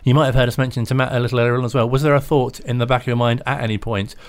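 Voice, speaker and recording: A man's voice: medium pitch, level tone, racing. A man hosting a studio show, asking long, rambling questions. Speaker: show host.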